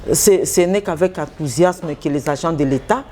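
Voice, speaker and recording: A middle-aged woman, a senior civil-service head answering interview questions in a measured, official way with hesitant fillers. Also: low voice, mellow. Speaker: secretary general of the public service.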